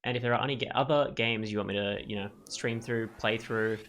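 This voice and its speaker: A man's voice: medium pitch, steady tone, brisk, fairly bright tone, a little hiss or hum. A man giving casual let's play commentary, thinking aloud in a rambling way.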